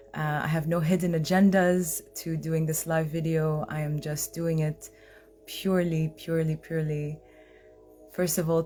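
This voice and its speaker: A woman's voice: deep voice, mellow. A woman hosting a heartfelt live video, speaking earnestly and hesitantly, often restarting her sentences. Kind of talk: heartfelt personal live-stream talk.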